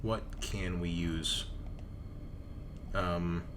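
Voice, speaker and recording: A man's voice: low-pitched, fairly even intonation, extremely slow, background noise. A man running a tabletop game as game master, casually narrating and thinking aloud with informal, sweary phrasing. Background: game background music.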